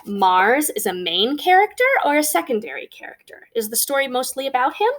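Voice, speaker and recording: A woman's voice: high voice, expressive, brisk. A woman guiding young children through a storybook, asking simple questions as a teacher would. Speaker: teacher leading a children's read-aloud.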